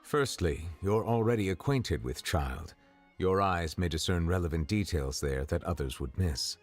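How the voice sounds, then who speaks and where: Very deep, formal male official. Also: lively intonation, soft background music. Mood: grave, formal. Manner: measured, formal, precise diction. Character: grave, formal male official of high rank, reserved and courteous. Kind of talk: video game voice acting.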